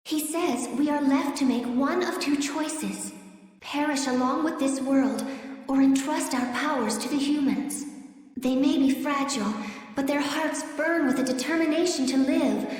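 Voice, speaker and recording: An actress playing a cautious, stately female wind spirit in a fantasy game, with measured, solemn, formal phrasing. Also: high-pitched, darkish tone. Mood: earnest. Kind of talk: scripted fantasy game acting. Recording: echoey cavernous hall reverb.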